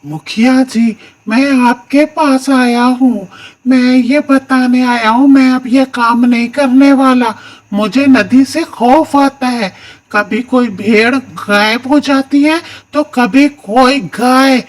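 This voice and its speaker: A man voices a timid village herdsman from a cartoon tale. His voice is high and he speaks slowly, earnest and plaintive. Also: fairly even intonation. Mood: fearful, earnest. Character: worried, honest village herdsman, pleading and fearful. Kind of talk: children's cartoon story dialogue.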